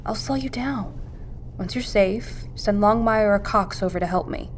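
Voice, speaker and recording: A woman's voice: medium pitch, moderate pace, a little hiss or hum, lightly acted. An actress playing a resolute, practical, selfless woman in a naturalistic audio drama. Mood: reasoning.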